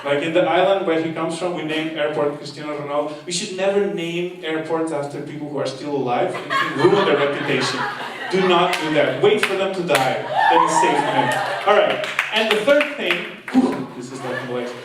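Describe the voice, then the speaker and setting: A man's voice: fairly high pitch, moderate pace, hardly any pauses. Young man doing stand-up in an echoey hall. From half Portuguese, half Argentinian. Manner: chatty, playful, self-mocking, talks to the audience. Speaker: stand-up comedian and course leader. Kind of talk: stand-up comedy set. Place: live comedy show in a hall. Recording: echoey hall from far off, noisy. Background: audience laughter.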